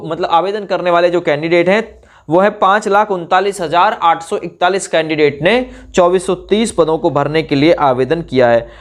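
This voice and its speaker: High-voiced man explaining updates. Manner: explains in asides, reads out notices, frequent check-in tags. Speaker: exam news presenter. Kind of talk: explanatory update for exam candidates.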